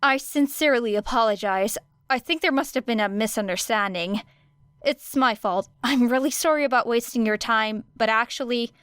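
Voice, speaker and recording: A woman's voice: on the high side, moderate pace, fairly bright tone, clear, resonant tone, no echo, clean studio sound, lightly acted. Woman playing a meek, pleading teenage girl. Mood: apologetic. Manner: meek, pleading, over-polite. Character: placid, apologetic teenage girl student, slyly innocent. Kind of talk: audiobook character acting.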